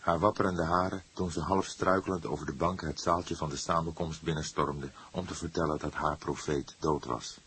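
A man narrating a crime novel in a measured, dramatic storytelling manner. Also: a bass voice, fairly even intonation, a bit bright, breathy edge. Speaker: audiobook narrator.

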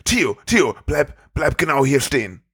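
A man's voice: medium pitch, sing-song, measured pace, vocal fry, fairly bright tone, breathy edge, exaggerated voice. A man playing a jumpy, stubborn father in an amateur podcast audio play. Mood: startled. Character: jumpy, stubborn father fed up with his house.

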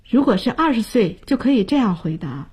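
A woman teaching a radio language lesson in a slightly high, mellow voice with a breathy edge. She explains each point clearly and speaks straight to her listeners, recorded close on a studio microphone. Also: very animated, a little fast. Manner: clear, instructive, addresses listeners directly. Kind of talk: scripted radio lesson narration.

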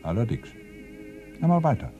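An actor playing an older police inspector in a radio crime play, sardonic and probing, with hesitant, feigned politeness. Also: male voice, mid-range voice, wildly swooping pitch, moderate pace, mellow, highs cut off, soft background music. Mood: sardonic, prodding. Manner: hesitant, probing, dryly teasing.

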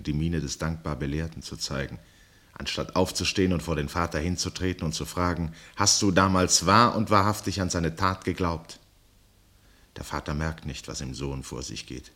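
An actor playing a grave, detached narrator in a radio play, measured and serious, with a husky voice. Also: male voice, a bass voice, a bit bright, exaggerated voice. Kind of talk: scripted narration.

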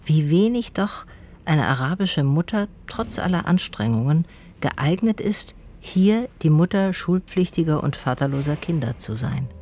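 An actress playing an observant, dryly sardonic woman who narrates her investigation in a radio drama in a measured, literary way. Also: low voice, lively intonation, a little mellow.

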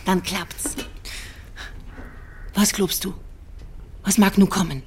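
A woman's voice: medium pitch, steady tone, slow, darkish tone, a little hiss or hum, close to an everyday voice. An actress playing a middle-aged farm mother, folksy, blunt and teasing, in a German radio drama. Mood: blunt, wry. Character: blunt, earthy farm mother of a teenage daughter.

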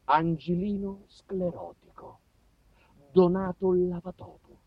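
A man with a southern Italian accent performing an expressive, theatrical comic monologue as a storyteller. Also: sits high, takes it slowly, no room sound. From southern Italy. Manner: expressive, acts out dialogue, shifts between hushed and shouted. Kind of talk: theatrical comic monologue about small-town life. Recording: dull, distant sound with music.

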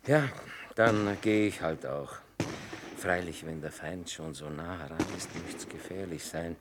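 A man's voice: low voice, a little slow. An actor playing a timid, sanctimonious army clergyman, hesitant and wheedling. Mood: anxious, wheedling. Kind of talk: stage-style radio drama acting. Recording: noisy track with battle sounds.

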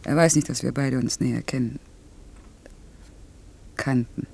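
An actress playing a proud woman in a radio drama, talking in a cool, brisk and faintly mocking way. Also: very deep voice, fairly even intonation, creaky, warm tone, breathy edge, exaggerated voice. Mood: matter-of-fact. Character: proud woman coolly parting from a former lover. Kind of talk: naturalistic German radio drama acting.

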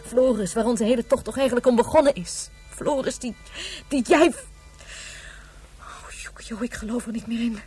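A woman playing a grieving, reproachful mother in a radio play, with dramatic, halting, emphatic delivery. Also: a little high, darkish tone, soft background music. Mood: grieving, despairing. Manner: dramatic, halting, emphatic, drops to breathy whispers. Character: despairing, reproachful grieving mother of a lost child.

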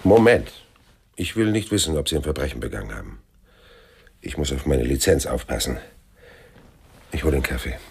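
An actor playing a terse, world-weary private detective with a gravelly voice and a dry, blunt manner in a radio crime drama. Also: male voice, extremely low pitch, lively intonation, normal speed, pauses often, slight background noise, full character voice. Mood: blunt, controlled. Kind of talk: radio crime drama acting and first-person narration.

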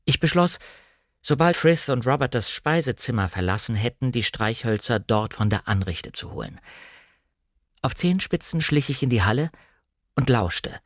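A man's voice: mid-range voice, lively intonation, normal speed, no room sound, studio quality. A man narrating an audiobook, reading scripted literary first-person prose in a composed, careful storytelling manner.

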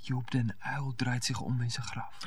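A man's voice: mid-range voice, flat delivery, normal speed, slightly rough, natural voice. A man playing a grumbling, dryly mocking, idealistic husband in a radio play. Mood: wry.

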